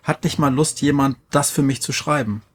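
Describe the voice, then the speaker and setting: A man's voice: mid-range voice, normal speed. A man moderating a casual group podcast conversation.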